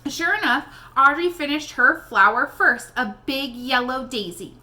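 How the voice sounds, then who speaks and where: A woman reading a children's novel aloud in an expressive storybook style. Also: high-pitched, unhurried. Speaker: read-aloud reader addressing young listeners.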